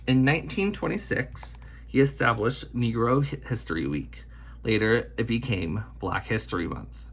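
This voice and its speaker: A man reading a children's biography aloud in an expressive storytelling style, with measured, deliberate phrasing. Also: medium pitch, gravelly, slight background noise.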